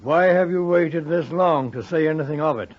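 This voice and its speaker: A man plays a stern magistrate in a vintage radio play, skeptical and probing. The voice is fairly high, on a dry, muffled old recording. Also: normal speed, no room sound, lightly acted. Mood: stern questioning. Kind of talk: 1950s radio drama acting.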